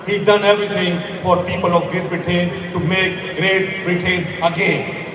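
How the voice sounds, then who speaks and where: Man from Afghanistan, middle-aged, fiery rally speech, high voice, echoey outdoor PA heard from a distance. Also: level tone, normal speed, a crowd in the background. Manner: emphatic, rising to shouts, repeats words for force. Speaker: rally speaker. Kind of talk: impassioned stage speech.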